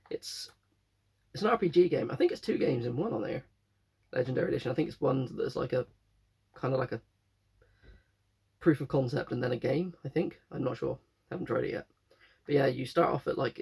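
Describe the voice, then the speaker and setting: Young male vlogger, hoarse from a cold, raspy and gravelly, loose rambling delivery. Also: mid-range voice, a little mellow. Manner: rambling, self-correcting. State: croaky with a cold. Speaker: game collector vlogger. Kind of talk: casual chat.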